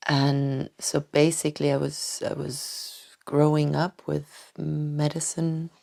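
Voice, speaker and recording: A woman doctor from Tanzania answering interview questions thoughtfully, with many hesitant restarts and soft trailing phrases. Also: sits low, completely flat delivery, slow pace, creaky. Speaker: doctor and acupuncturist, podcast guest. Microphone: podcast microphone.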